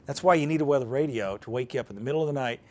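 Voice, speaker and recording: A man's voice: mid-range voice, racing. An American man giving an informal live demonstration, explaining off the cuff in a folksy, conversational way and thinking aloud as he goes. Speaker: presenter of a weather radio programming demonstration.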